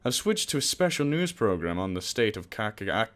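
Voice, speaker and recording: A man's voice: lowish voice, very animated, a little fast. A young man casually reading a visual novel aloud for a let's play. He jokes, swears and mocks himself as he stumbles over words.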